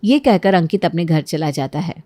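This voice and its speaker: A woman's voice: fairly low pitch, animated, normal speed, warm tone, no room sound. A woman reading scripted narration for a cartoon story.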